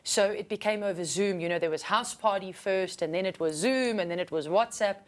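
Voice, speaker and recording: Female musician guest, South African accent, low register, mid pitch for a woman, explaining things earnestly in relaxed interview talk. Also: a little fast.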